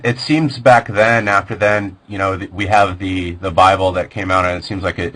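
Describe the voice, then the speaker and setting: Male interviewer, very deep and forceful, loose searching questions. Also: poor audio.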